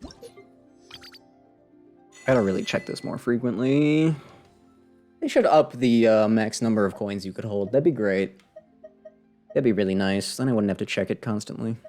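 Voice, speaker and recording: A man's voice: on the low side, moderate pace, pauses often, a little mellow, faint music behind. A man giving casual live commentary as a game streamer, rambling and muttering to himself with playful sarcasm.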